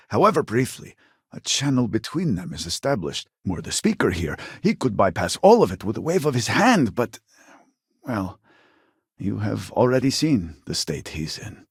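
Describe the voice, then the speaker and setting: An actor playing an earnest, exasperated scientist from a devout society in a sci-fi video game, speaking formally with a husky voice. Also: male voice, lowish voice, very animated, no room sound, studio quality, exaggerated voice. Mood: fascinated, musing. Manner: formal, measured, articulate. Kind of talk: video game character dialogue.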